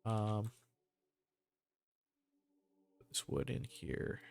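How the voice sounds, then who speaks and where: A man's voice: lowish voice, steady tone, drawn-out words, vocal fry, a little mellow. A man giving casual, rambling gameplay commentary with jokey slang. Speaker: gamer hosting a let's play.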